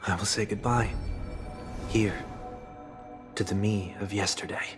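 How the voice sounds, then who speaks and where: An actor playing a melancholic, refined young man in a game cutscene, speaking in measured, poetic, wistful phrases. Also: lowish voice, steady tone, close to an everyday voice. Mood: wistful, solemn. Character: melancholic young male fallen idealist, refined and soft-spoken. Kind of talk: dramatic video game cutscene acting. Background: music.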